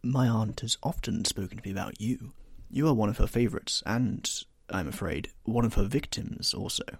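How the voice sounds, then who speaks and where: A man voicing a witty aristocratic gentleman with an amused drawl. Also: lowish voice, normal speed, slightly rough, no echo, studio quality. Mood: languid.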